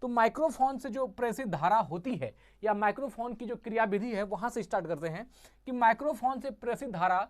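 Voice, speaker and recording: A woman teaching a physics lesson who asks the class questions, answers them herself and repeats each key term. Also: on the high side.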